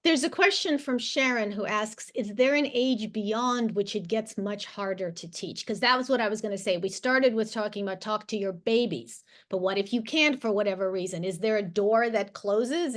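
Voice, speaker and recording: A woman's voice: mid-range voice, moderate pace, few pauses. A woman born in the former Soviet Union, whose first language is Russian, hosts a panel in a chatty, self-deprecating way and puts questions to her guests. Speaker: host and moderator. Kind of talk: casual panel discussion.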